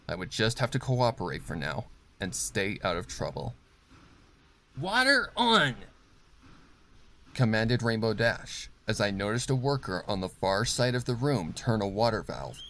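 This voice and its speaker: A man's voice: fairly low pitch, slight background noise. A man reading fan fiction as a scripted, dramatic narration with a grave, suspenseful delivery.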